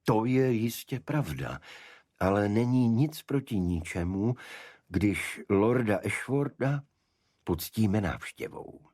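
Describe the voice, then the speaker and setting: A man voicing a brisk, probing gentleman detective in an audiobook, husky and incisive in his questioning. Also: fairly low pitch, expressive, no echo, clean studio sound, pitched above the performer's own voice. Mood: brisk, decisive.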